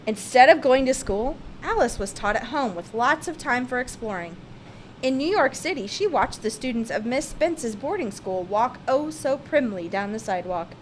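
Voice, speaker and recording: Female storybook reader, higher-pitched and expressive, with light background noise. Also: moderate pace, low-quality recording. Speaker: storyteller reading a picture book aloud. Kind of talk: read-aloud children's storybook narration.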